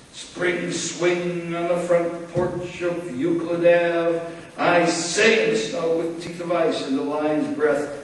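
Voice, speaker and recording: Echoey, far-off man declaiming poetry. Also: on the high side. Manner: theatrical, declamatory, drawn-out emphatic phrasing with dramatic pauses. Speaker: poet reading aloud at a poetry event. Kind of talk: dramatic spoken-word poetry reading. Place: large church interior, live reading. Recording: very echoey church hall, far-off mic, faint noise.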